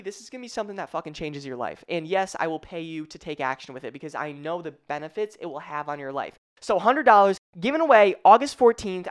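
Stuffy-voiced woman fitness coach, lower-pitched, high-energy live-stream host who swears freely. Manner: hyped, swears casually, addresses viewers by name. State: congested, a little stuffy.

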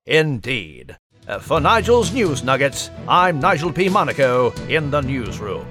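A man's voice: mid-range voice, sing-song, unhurried, over music. A man voicing a scholarly, genteel mouse, delivering mock news commentary with some pomp. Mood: pompous, enthusiastic. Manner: erudite, genteel, pompous. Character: proper, scholarly British male mouse newsreader.

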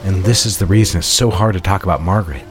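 A devoted, guarded husband from a romantic period radio play, played by an actor. His man's voice is very low and formally courteous, with measured endearments over clearly audible music. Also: natural voice. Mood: tender, regretful. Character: devoted, guarded new husband with troubled past. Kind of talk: audio drama dialogue, period romance.

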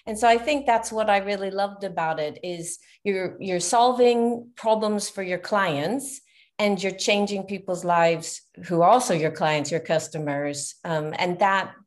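A middle-aged woman with an American accent, a business founder guest on a podcast call, telling her story in an animated, chatty way. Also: mid-range voice, a little slow. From United States. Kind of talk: casual interview over a call.

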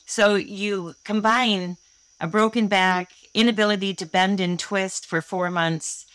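A woman's voice: medium pitch, fairly even intonation, unhurried, a bit bright, clear, resonant tone, dry recording, rough recording. A woman in her sixties from Michigan, telling her own story earnestly and candidly as a guest on an interview show. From Holland, Michigan, USA. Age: 60s. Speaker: guest inventor.

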